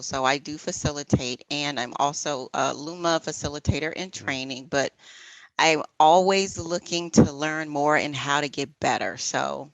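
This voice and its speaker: A woman's voice: low voice, dry recording, low-quality recording. A woman from Northern Virginia introducing herself at a workshop, cheerful with ums. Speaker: workshop participant. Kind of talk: casual self-introduction. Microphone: video call.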